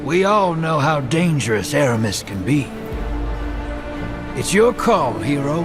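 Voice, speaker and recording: An actor voicing a laid-back, roguish space drifter in a video game. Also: male voice, on the high side, big pitch swings, a little slow, over music. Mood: wry, ready. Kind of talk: video game cutscene acting.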